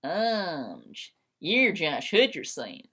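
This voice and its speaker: A woman's voice: low-pitched, very animated, extremely slow, pushed and bright, heavily acted. A woman voicing a gushing, scheming teenage fangirl in an amateur fanfiction reading, stammering eagerly. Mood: flustered, eager.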